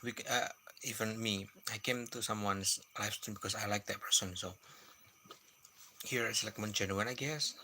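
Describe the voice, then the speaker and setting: A man chatting softly on a late-night livestream at home, often searching for his words. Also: on the low side, steady tone, normal speed, a little hiss or hum. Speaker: live streamer. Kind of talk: casual late-night livestream chat with viewers.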